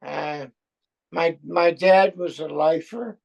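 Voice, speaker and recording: A man, a veteran speaking hesitantly as an interview guest, with many pauses and fillers. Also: on the high side, fairly even intonation, creaky, darkish tone, lo-fi sound. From born in Austria, raised in Brooklyn and France. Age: 70s. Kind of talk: casual chat.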